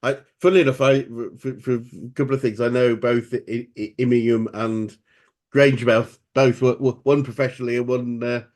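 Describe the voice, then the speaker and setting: A man's voice: mid-range voice, fairly even intonation, normal speed, darkish tone. A man hosting a business interview, encouraging his guest with frequent affirmations and occasional stumbles. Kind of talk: conversational interview.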